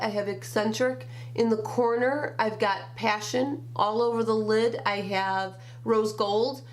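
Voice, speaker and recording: A grandmother with a nasal, stuffed-up voice from a summer cold, chatting through a makeup review in a small bedroom and naming each shade one by one. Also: fairly high pitch. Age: older. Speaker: beauty vlogger. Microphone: camera at desk distance.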